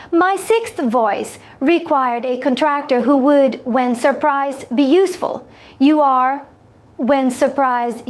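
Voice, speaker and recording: A senior alien broker from a science fiction audio story, played by a woman, speaks high and slowly. The phrasing is formal and exact, with a measured courtesy. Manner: stiffly formal, deliberate phrasing.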